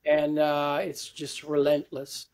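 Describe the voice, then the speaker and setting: An Italian man with an Italian accent speaks as a lecturer being interviewed over a video call. His voice sits a little high for a man, and he answers in a conversational style. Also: completely flat delivery, slow, darkish tone. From Italy. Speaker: interview guest, university lecturer. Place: indoor room with bookshelves, video call.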